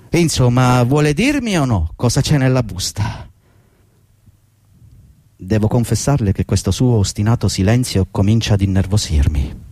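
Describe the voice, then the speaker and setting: A man's voice: a little high, mellow, no room sound. An actor playing an anxious, fawning long-serving office employee in a radio drama, pleading hesitantly and deferentially as he rambles. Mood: agitated, dawning dread. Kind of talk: theatrical radio drama dialogue.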